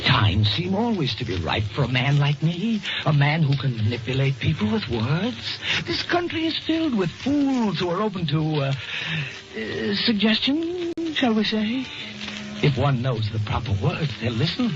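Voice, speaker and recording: An actor playing a smug megalomaniac who gloats theatrically about manipulating people, in a 1940s radio drama style. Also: male voice, fairly high pitch, wildly swooping pitch, normal speed, noisy background. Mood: gloating menace. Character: smug megalomaniac male villain, master manipulator. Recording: dull vintage radio recording.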